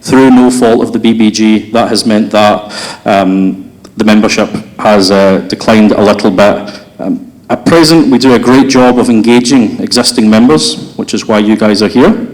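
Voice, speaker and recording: A man's voice: fairly low pitch, moderate pace, darkish tone, poor audio. A man giving a prepared candidate speech at a business group election, earnest, with many ums and uhs. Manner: earnest, filler-heavy delivery. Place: hotel function room.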